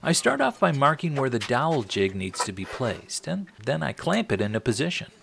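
Middle-aged american guy doing wry how-to narration, really animated pitch, noisy clicks behind him. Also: moderate pace, creaky. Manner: wry, tongue-in-cheek. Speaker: host of a woodworking channel. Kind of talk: scripted tutorial narration.

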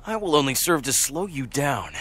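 A man playing a brooding, remorseful young fantasy warrior in a video game, speaking in a grave, measured way. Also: medium pitch, very animated, a bit bright, breathy edge. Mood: somber, resolved. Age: young adult. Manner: grave, measured, self-reproaching. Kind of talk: video game cutscene acting.

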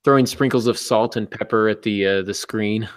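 A man's voice: fairly low pitch, fairly even intonation, normal speed, no echo. A middle-aged man hosting a casual live podcast in a dry, joking manner, close to a desk microphone. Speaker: podcast host and football coach. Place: indoor office, on a video call. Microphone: close desktop microphone.

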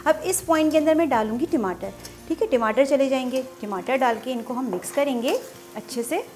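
A woman's voice: high voice, fairly quick, a little mellow, faint music behind. A woman hosting a cooking show, explaining each step chattily and often praising the dish. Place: home kitchen at the stove.

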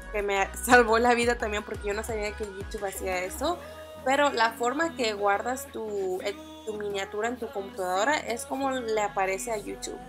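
A young woman whose first language is English, chatting upbeat through a screen-recorded tutorial with small fillers and restarts. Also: on the high side. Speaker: beauty vlogger.

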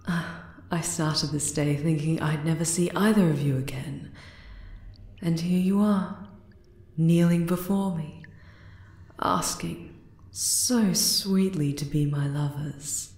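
A woman voicing a regal, affectionate drider queen in an ASMR roleplay, measured and gently commanding. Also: sits low, reverberant. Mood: stunned, touched.

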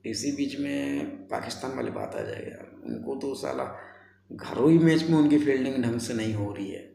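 A man's voice: medium pitch, big pitch swings, normal speed, gravelly, warm tone. A man, giving casual, colloquial sports commentary to camera like a vlogger chatting with friends. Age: 20s. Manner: colloquial, chatty, addresses viewers as brothers. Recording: roomy echo, faint background noise.

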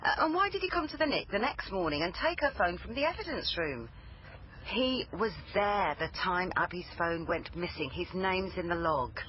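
A woman's voice: on the low side, big pitch swings, moderate pace. An actress playing a dogged, sceptical female police officer in a naturalistic radio crime drama, with a probing, dry manner. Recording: muffled broadcast with faint noise.

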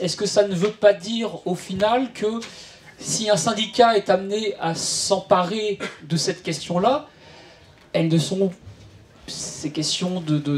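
A man's voice: high voice, a little hiss or hum. A man moderating a panel debate as a journalist, asking long, probing, winding questions into a handheld mic in a large tent. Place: large tent at an outdoor event. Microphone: handheld microphone.

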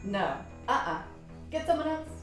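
A woman's voice: a little high, very animated, normal speed. A woman playing a brusque, defiant doctor in a radio drama. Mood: irritated, defiant. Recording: very echoey room, faint music.

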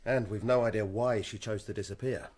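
An actor playing a stolid, matter-of-fact police constable in a radio drama. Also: male voice, on the low side, steady tone, brisk, a little mellow, lightly acted. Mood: businesslike. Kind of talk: BBC radio drama acting.

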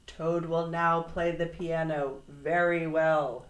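Grandiose dream announcer for a children's story, female reader, mysterious, very slow proclamations, roomy sound. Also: deep voice, steady tone. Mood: grand proclamation.

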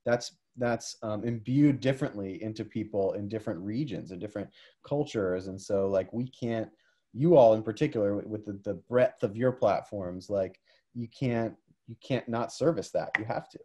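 A man's voice: fairly low pitch, moderate pace, warm tone. A man co-hosting a podcast, chatting casually over a video call from home with lots of fillers. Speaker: podcast co-host. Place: home room, indoors.

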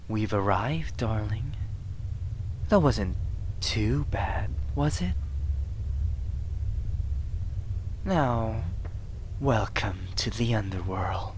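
A man playing a possessive, doting demon lover in an ASMR roleplay, with a teasing, softly menacing manner. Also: fairly low pitch, a little hiss or hum. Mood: soothing, protective. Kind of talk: ASMR roleplay, intimate second-person acting.

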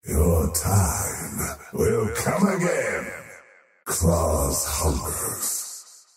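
A deep, distant voice drenched in echo, a faceless creature of the void from a video game. He speaks very slowly and portentously, a power-hungry oathbreaker gloating over his victims. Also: wildly swooping pitch, full character voice. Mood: ominous, gloating. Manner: slow, portentous, theatrical, gloating. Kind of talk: scripted video game character voice lines. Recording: heavily echoing, distant, loud music behind.

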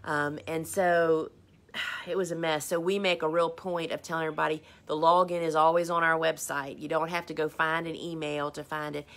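A woman hosts a relaxed live stream, answering viewer questions. Her voice sits a little low for a woman. She talks in a chatty, rambling way and pokes fun at herself. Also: moderate pace. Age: 50s. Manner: chatty, rambling, self-deprecating. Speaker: host.

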